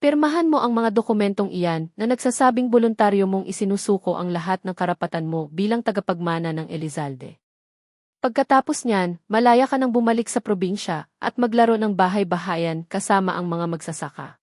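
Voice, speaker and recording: A woman voicing a cold, wealthy stepmother in a storybook drama, soft and sweet but full of menace. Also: medium pitch, a little fast, no room sound, studio quality, close to an everyday voice. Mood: cold threatening.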